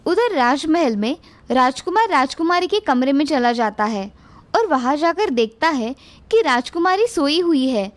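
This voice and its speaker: A woman's voice: high voice, sing-song, unhurried. A woman narrating a scripted children's fairy tale as storyteller.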